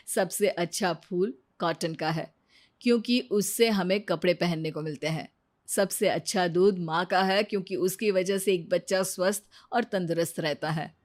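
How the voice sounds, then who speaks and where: A woman's voice: lowish voice, unhurried, lightly acted. A woman voicing a clever, confident husband in a moral story, explaining and mildly scolding. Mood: gently advising. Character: clever, teasing husband who lectures his proud wife.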